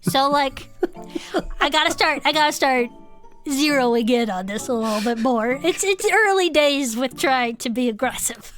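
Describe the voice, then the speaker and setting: High-voiced, earnest turtle-folk gardener. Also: female voice, moderate pace, faint music behind. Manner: earnest, chatty, excitable. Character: cheerful gardening turtle-folk cryptid fan with buried rage. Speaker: podcast co-host. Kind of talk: comedic character banter, partly improvised.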